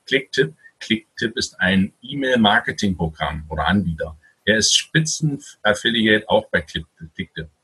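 A man hosting an interview in a conversational style, with a husky, rough-edged voice. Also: fairly low pitch, bright, forceful tone, poor audio. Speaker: interview host.

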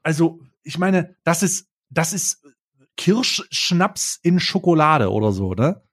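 A man's voice: on the high side, very animated, slow. A man hosting a podcast in casual chat, chatty and hesitant, recorded over a remote call. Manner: chatty, hesitant, laughs easily. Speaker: podcast host.

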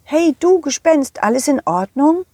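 A cheerful, loyal dog from a children's story, voiced by a woman, high and very lively. He sounds confident, eager and playful, always reassuring. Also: a little slow, no room sound. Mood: helpful, eager.

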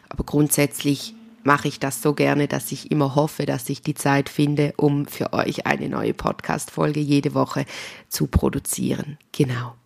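A woman's voice: low voice, vocal fry. A woman with a Swiss German accent hosting a solo podcast, talking casually and addressing the listener directly in a chatty, informal way. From Switzerland. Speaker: podcast host and spiritual healer.

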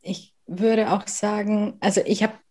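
A woman's voice: mid-range voice, fairly even intonation, measured pace, mellow. A woman from southern Bavaria with a light Bavarian accent, a guest in an interview, speaking earnestly with frequent hesitations. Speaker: guest, working mother. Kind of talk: conversational interview.

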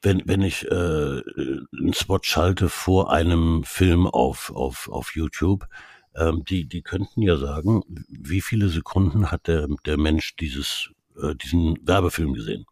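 Man, deep dark voice, husky, dry close sound, hesitant with fillers. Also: a little slow, dry recording. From Canada. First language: English. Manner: hesitant, many restarts and fillers. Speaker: media expert guest. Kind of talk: casual expert conversation.

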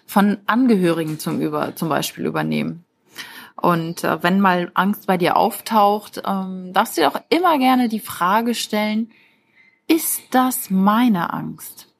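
A woman's voice: on the low side, unhurried, creaky. A woman giving an informal motivational talk as a life coach, speaking directly and encouragingly to the listener. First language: German.

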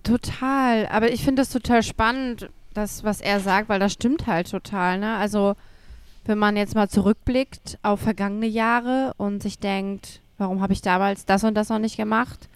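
A woman in her thirties co-hosting a podcast, chatty and quick to ask the others questions. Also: medium pitch, fairly even intonation, clear, resonant tone, no room sound. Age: 30s. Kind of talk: casual chat.

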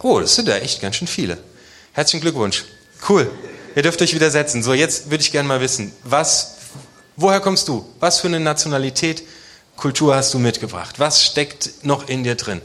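A man's voice: a little high, animated, moderate pace, fairly bright tone. A man from Germany preaching to a congregation in a casual, conversational way, often posing rhetorical questions. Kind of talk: conversational sermon addressing a congregation.

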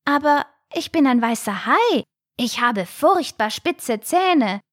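A gentle little shark boy from a children's storybook, voiced by a woman. His voice is lifted high, and he explains himself with earnest eagerness. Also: very animated, slow pace, dry recording, clean studio sound, heavily acted. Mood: earnest, insistent.

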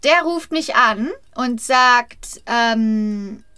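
A woman's voice: fairly high pitch, drawn-out words, smooth, no echo. A German woman, an actress, chatting casually on a podcast and acting out the dialogue in her stories. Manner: animated storyteller who acts out dialogue. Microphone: home podcast setup.

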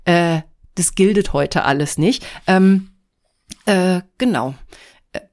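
A middle-aged woman chats on her solo podcast in a loose, scattered, self-deprecating way. Her voice sits a little low, and a cold leaves it nasal and stuffy. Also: slow pace, a little mellow. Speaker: podcast host. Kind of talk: casual solo podcast chat.

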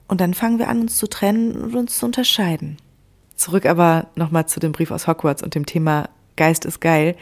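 A woman's voice: lowish voice, darkish tone. A young adult woman speaking earnestly in a personal spiritual monologue, talking straight to the listener and now and then dropping to a whisper. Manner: earnest, addresses the listener directly, sometimes drops to a whisper.

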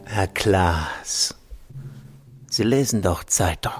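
Low-voiced scheming intelligence chief. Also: male voice, extremely slow, soft background music. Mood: veiled menace. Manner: insinuating, falsely cordial. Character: scheming, cynical senior male intelligence official, smooth and menacing. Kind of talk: German radio play acting.

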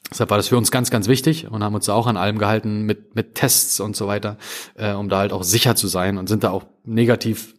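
A man's voice: fairly low pitch, steady tone. A man talking casually in an interview about his coworking business, with frequent fillers and restarts. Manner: quick, with many fillers and restarts. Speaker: coworking company executive.

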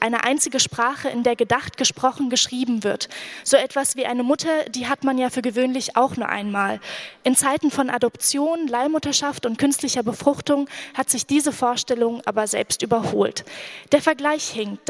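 A woman from Syria whose first language is Arabic, reading her literary texts at a public event with a measured delivery and hesitant fillers between passages. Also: high voice. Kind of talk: live literary reading with spoken asides.

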